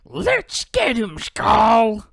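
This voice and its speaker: A man's voice: extremely high pitch, wildly swooping pitch, extremely slow, gravelly, husky, dry recording, studio quality, exaggerated voice. A man voicing a manic, cantankerous little video game warrior who blusters and barks orders. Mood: manic, blustering. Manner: blustering, shouting. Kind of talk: video game character impression.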